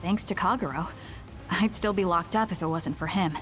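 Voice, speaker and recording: A woman's voice: fairly low pitch, moderate pace, faint music behind, lightly acted. A woman playing a determined, protective young female fighter in an English-dubbed anime game. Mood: resolute.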